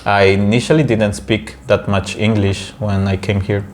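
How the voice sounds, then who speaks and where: A man's voice: on the low side, little pitch movement, normal speed, a little hiss or hum. A young man from Peru with a Spanish accent, co-hosting a casual podcast chat and talking with many fillers and restarts. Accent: Peruvian Spanish. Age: young adult. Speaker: podcast co-host.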